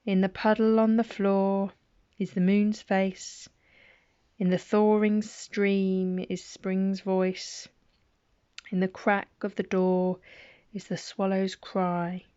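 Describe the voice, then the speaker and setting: A woman's voice: medium pitch, little pitch movement, takes it slowly, mellow, clean tone, dry recording, lo-fi sound. A middle-aged woman reading children's poems aloud at bedtime, with playful, chatty asides between the poems.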